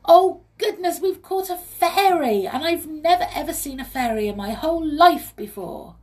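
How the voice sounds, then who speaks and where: A woman voicing a kind, excitable young girl who exclaims earnestly in a children's story. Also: medium pitch, moderate pace. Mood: excited wonder.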